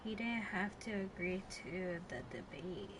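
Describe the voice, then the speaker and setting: Woman, game streamer, tired, slow, medium pitch, casual read-aloud, noisy background. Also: lively intonation. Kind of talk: casual livestream, reading game lines aloud.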